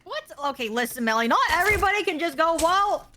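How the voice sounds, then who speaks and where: High bubbly woman who giggles a lot. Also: animated, fast, pushed and bright, a little hiss or hum. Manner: giggly, swearing, stammers and repeats herself. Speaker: streaming guest. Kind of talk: casual gaming stream chat.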